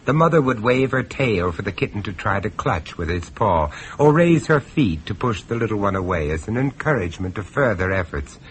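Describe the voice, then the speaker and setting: A man's voice: lowish voice, very animated, brisk, hardly any pauses. An actor playing an urbane, sardonic horror star narrating his tale in a radio play. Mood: storytelling. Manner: sardonic, droll, polished. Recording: noisy radio drama recording.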